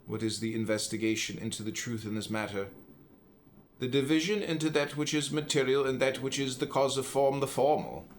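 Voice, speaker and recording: A man's voice: medium pitch, fairly quick, a little hiss or hum. An American man reading classical philosophy aloud as an audiobook, in a solemn, emphatic, declamatory manner. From United States. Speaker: audiobook reader.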